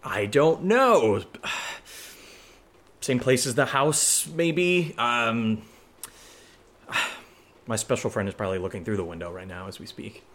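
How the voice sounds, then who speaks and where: A man's voice: mid-range voice, expressive, slow, long pauses, a bit bright. A man playing a jittery rambling young guy. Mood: nervous. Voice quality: hesitant, stammering, rambling with many fillers. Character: nervous young man rambling about a haunted attic. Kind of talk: indie horror audio drama acting.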